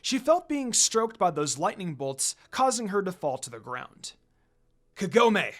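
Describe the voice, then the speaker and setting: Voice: medium pitch, sing-song, normal speed. A reader narrating a story in a dramatic amateur style.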